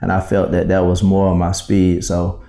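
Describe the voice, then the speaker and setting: A man from South Carolina, telling his story as a business owner in an interview, polite and measured with frequent ums. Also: sits low, monotone, gravelly, mellow.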